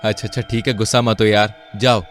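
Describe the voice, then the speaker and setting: A man voicing a nervous, placating young driver in an animated horror-comedy story. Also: lowish voice, fast talker, soft background music, close to an everyday voice. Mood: coaxing.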